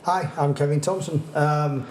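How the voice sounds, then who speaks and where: A man's voice: fairly high pitch, fairly even intonation, a little slow, darkish tone, reverberant, slight background noise. A man who talks hesitantly, with many fillers, in a documentary interview. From East Yorkshire, England.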